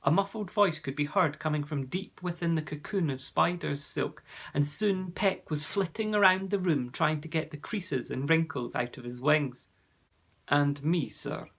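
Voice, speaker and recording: A man reading a children's fantasy storybook aloud, with clear, expressive storytelling. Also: on the high side, normal speed, hardly any pauses. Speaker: narrator.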